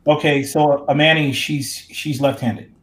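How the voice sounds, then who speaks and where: An animated male professor on a podcast, talking with a fairly high voice. He walks through ideas the way he would in a classroom, posing questions back to the other speaker and sprinkling in casual slang. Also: measured pace. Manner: animated, explains ideas like a teacher, says "dude" and "man". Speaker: college professor.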